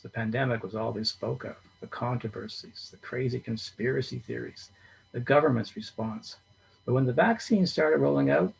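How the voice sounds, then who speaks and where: A man reading a short story aloud in a steady storytelling manner. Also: medium pitch, gravelly, husky, poor audio. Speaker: reader.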